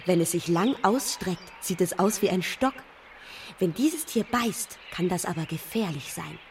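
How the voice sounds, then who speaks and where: An actress playing a naive, pert young princess in a children's radio play. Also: female voice, on the low side, expressive, measured pace, distant mic, background noise. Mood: instructive.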